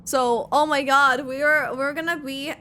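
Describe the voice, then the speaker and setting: A woman giving casual, expressive reaction commentary while playing through a video game. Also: sits high, a little slow, slight background noise. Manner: excitable, expressive, exclaiming. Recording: loud game music behind the voice. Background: game music.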